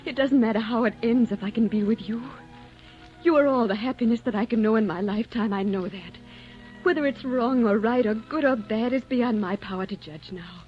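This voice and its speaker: Young baroness played by a woman, passionate and wistful, higher voice, vintage radio melodrama, dull sound with faint music. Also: fairly quick, lightly acted. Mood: anguished devotion. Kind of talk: 1940s radio romance acting.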